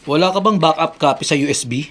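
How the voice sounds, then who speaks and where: An actor playing a proud, hot-tempered former janitor in a radio drama, emphatic and defiant. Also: male voice, on the high side, fast, fairly bright tone, a little hiss or hum, close to an everyday voice. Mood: aggrieved. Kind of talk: Tagalog radio drama acting.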